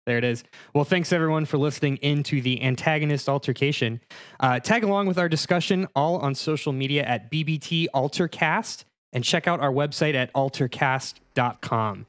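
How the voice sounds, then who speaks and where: A man's voice: a little high, moderate pace. A man hosting a podcast discussion in a mock-serious, conversational way. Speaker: podcast host.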